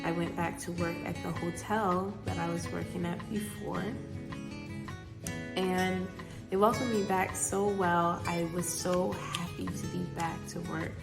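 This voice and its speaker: A woman's voice: on the low side, level tone, measured pace, gravelly. A woman in her twenties, a new mother, talking upbeat and chatty to her viewers in a casual vlog, filmed on a phone in a car. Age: 20s. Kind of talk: casual vlog talk to camera. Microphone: phone camera. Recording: loud background music over voice.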